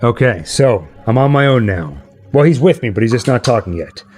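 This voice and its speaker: A man's voice: medium pitch, lively intonation, fairly quick, a little mellow, faint music behind. A man hosting a livestream in casual, chatty style. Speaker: host.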